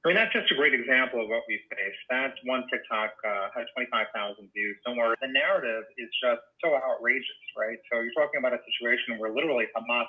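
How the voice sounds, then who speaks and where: A man's voice: mid-range voice, fast talker, hardly any pauses, narrow, tinny audio. A man speaking at a conference in an urgent, informal briefing style.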